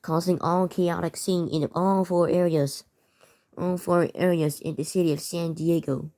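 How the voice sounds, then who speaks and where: A woman with a fairly low, very mellow voice reads out amateur emergency bulletins as a narrator. The delivery is halting, with words often stumbled over and said again. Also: fairly even intonation. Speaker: narrator of a fictional emergency alert scenario.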